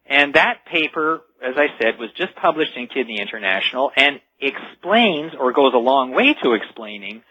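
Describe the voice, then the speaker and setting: Male radio interview guest, husky and emphatic, explains like a storyteller; dry, muffled lo-fi broadcast. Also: medium pitch, big pitch swings, normal speed, few pauses, no room sound. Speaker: guest expert and author. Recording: dull lo-fi radio sound.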